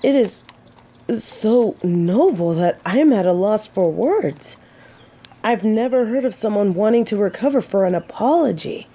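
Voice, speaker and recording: A woman's voice: medium pitch, lively intonation, a little slow, mellow. A woman playing a gallant, teasing yet earnest female knight in a romantic fantasy audio drama, with formal, old-fashioned phrasing. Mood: earnest, admiring.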